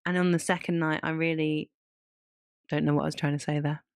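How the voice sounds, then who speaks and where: A woman's voice: low voice, little pitch movement, a little fast, clean tone, no room sound, studio quality. A woman co-hosting a podcast, chatting casually in an informal, rambling way. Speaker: podcast co-host.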